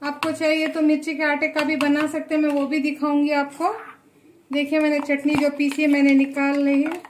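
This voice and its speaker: A woman hosting a home cooking tutorial in her kitchen, chatty, often pausing to say "look" and give blessings. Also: high voice, completely flat delivery, a little hiss or hum. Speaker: cooking channel host.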